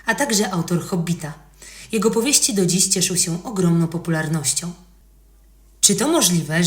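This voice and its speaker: Low-voiced woman narrator in a roomy recording. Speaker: podcast narrator. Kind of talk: scripted educational history narration.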